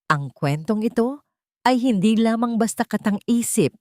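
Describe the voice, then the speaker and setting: A woman's voice: on the low side, no echo, clean studio sound. A woman with a Filipino accent reads a sentimental story as a dramatic audiobook narrator, in a solemn, earnest manner.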